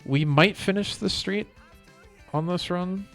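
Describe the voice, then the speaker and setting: A man's voice: high voice, slow pace, a bit bright. A man chatting casually as he livestreams a video game. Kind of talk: casual gaming chat. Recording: music playing behind the voice. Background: music.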